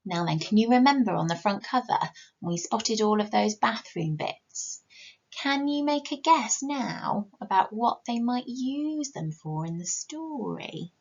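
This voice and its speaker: Animated, high-voiced woman storyteller. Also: a little slow, highs cut off. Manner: addresses young listeners with questions. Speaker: teacher reading to children. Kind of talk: expressive picture-book storytelling.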